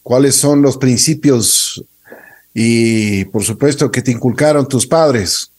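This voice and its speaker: A man's voice: mid-range voice, slow pace, darkish tone. A man hosting a conversational interview show.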